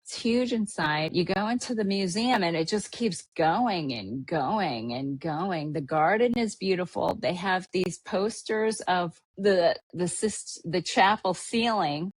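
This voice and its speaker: Podcast host, woman from Hawaii, lowish voice, dry close sound, casual rambling chatter with fillers. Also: slow, hardly any pauses, husky, dry recording. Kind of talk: casual travel recap chat.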